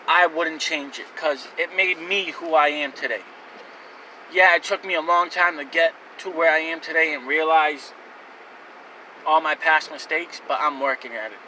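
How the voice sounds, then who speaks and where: A man's voice: a little high, pushed and bright, distant mic, a little hiss or hum, rough recording. A man in his twenties telling his own life story to camera in a rambling, candid way, with fillers and restarts. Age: 20s. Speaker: vlogger.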